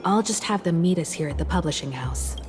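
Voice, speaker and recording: An actress playing a poised, caring crew mentor in a video game, composed and reassuring. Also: female voice, lowish voice, expressive, brisk, music in the background, lightly acted. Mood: amused. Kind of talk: video game character acting.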